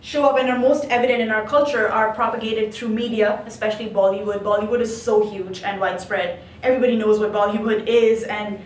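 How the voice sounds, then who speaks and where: Young woman from India, higher voice, explanatory and articulate; recorded off-mic in a room. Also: fairly even intonation, brisk, few pauses. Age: young adult. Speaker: student interviewee. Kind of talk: reflective interview answers. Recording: roomy, distant mic, faint noise.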